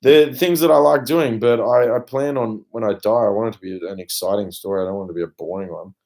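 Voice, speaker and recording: Young Australian male vlogger, low voice, unscripted rant delivery, blunt, emphatic, sweary. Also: quick pace, a little mellow. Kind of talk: unscripted personal rant to camera.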